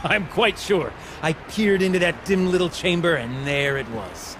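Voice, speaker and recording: An actor playing an eccentric, excitable older male tinkerer in a video game, with a theatrical, wordy way of talking. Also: a little high, a little slow, background noise, lightly acted. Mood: wistful. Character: eccentric, excitable older man, rambling self-taught tinkerer. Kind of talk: video game character acting.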